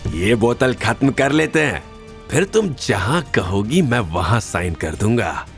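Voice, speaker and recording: A man's voice: mid-range voice, big pitch swings, a little slow. A man playing a rich, arrogant, lecherous villain in an audio drama, leering and condescending with a short temper. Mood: coaxing. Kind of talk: Hindi audio drama dialogue. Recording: faint music behind.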